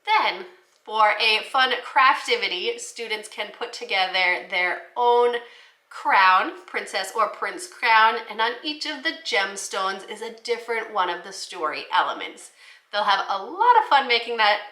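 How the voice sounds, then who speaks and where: A woman teacher giving an instructional talk to fellow educators, explaining things clearly. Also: mid-range voice, lively intonation, unhurried, sharp tone. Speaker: teacher presenting children's books for the classroom. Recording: roomy, echoey indoor sound.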